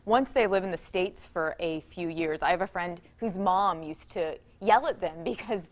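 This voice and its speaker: A woman's voice: on the low side, moderate pace. A woman co-hosting a casual daytime talk show, curious and teasing, often asking playful follow-up questions. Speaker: co-host. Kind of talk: casual chat.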